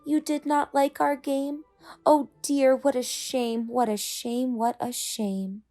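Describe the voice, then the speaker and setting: Woman playing a breezy, high-voiced storybook cat. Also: flat delivery, slow, dark tone, faint music behind. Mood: sorry, wistful. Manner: breezy, showy, reassuring. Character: playful, unbothered male cat showing off tricks. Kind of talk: children's storybook read-aloud.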